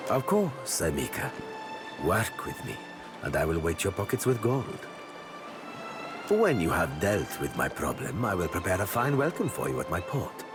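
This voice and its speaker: An actor playing a suave, pompous gentleman pirate captain in a video game, speaking formally with flowery, calculating courtesy. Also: low voice, wildly swooping pitch, moderate pace, music in the background. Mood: smooth, persuasive. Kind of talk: video game character dialogue.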